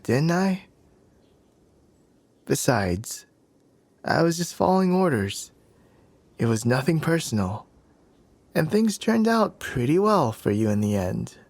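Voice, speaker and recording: A man's voice: fairly high pitch, dry recording, full character voice. A man playing a cocky, teasing young warrior in an ASMR roleplay, smug and playfully possessive toward the listener. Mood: reassuring. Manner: teasing, smug, breathy sighs and chuckles.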